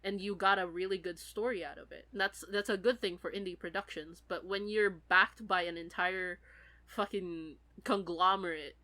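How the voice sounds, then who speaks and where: A woman's voice: lowish voice, fairly even intonation, moderate pace, sharp tone. A woman who is sick, chatting casually as a podcast co-host. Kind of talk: casual chat.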